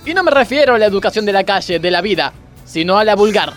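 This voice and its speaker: A man's voice: high-pitched, expressive, fast talker, fairly bright tone, faint music behind. A man acting a pompous, moralizing gymnastics coach who makes grandiose speeches in a parody radio play. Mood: preachy. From Argentina. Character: pompous, moralizing male gymnastics coach, scolding yet easily distracted.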